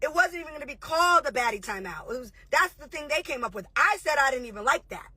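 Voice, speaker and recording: A woman in her thirties, a reality show host, ranting heatedly and profanely on a livestream, confrontational and rapid-fire. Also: high-pitched, expressive, sharp tone, dry recording.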